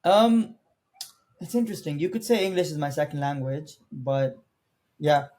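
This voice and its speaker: A man's voice: fairly high pitch, moderate pace. A young man from Pakistan whose first language is Urdu, a podcast guest talking energetically and persuasively about careers. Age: young adult. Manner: energetic, persuasive, talks directly to viewers. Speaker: guest, former international student. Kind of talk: casual podcast interview.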